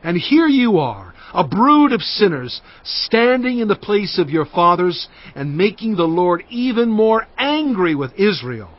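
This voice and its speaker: An actor playing Moses, a stern aging prophet who speaks in a measured, rebuking way, on a dull lo-fi audio drama recording. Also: male voice, high voice, big pitch swings.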